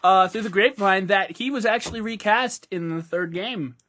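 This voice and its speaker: A man with a high-pitched voice and a fast rate of speech, co-hosting a casual, joking chat. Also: a bit bright. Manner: fast, joking, breaks into cartoon impressions. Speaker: podcast co-host. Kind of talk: casual banter.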